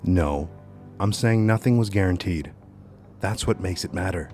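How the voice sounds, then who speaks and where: A quiet, philosophical young man in an audio drama, acted by a man. His voice is low, measured and earnest with a melancholy streak, over background music. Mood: gentle resolve. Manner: measured, reflective phrasing.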